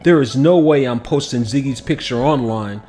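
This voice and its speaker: A man voicing an excitable, tender ten-year-old city boy for a storybook, eager and exclamatory, over music. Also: medium pitch, normal speed, close to an everyday voice. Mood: worried. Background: background music.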